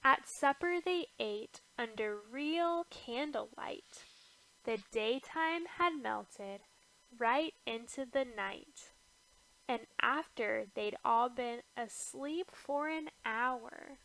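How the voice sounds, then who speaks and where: A woman with a bright, fairly high voice reads a children's storybook aloud. She takes her time, shaping the rhyming verse with a measured rhythm and expressive phrasing. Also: low-quality recording. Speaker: storybook reader. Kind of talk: scripted read-aloud of a rhyming children's picture book.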